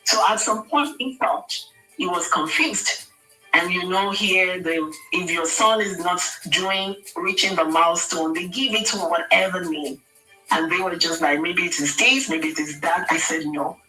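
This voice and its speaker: A woman's voice: fairly low pitch, unhurried, bright, forceful tone, echoey room, distant mic, soft background music, low-quality recording. A Nigerian woman speaking as a radio guest, giving earnest, pleading advice in a preacherly way. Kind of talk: impassioned advice on air. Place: radio studio.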